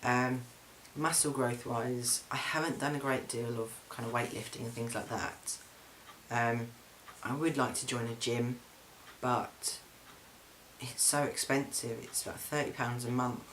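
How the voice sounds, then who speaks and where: A man's voice: mid-range voice, steady tone, a little slow, a bit bright, a little hiss or hum, poor audio. A young man, talking through a casual video diary update with lots of ums and restarts, recorded indoors at home. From England. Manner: chatty, frequent ums and restarts. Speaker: vlogger. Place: flat, indoors.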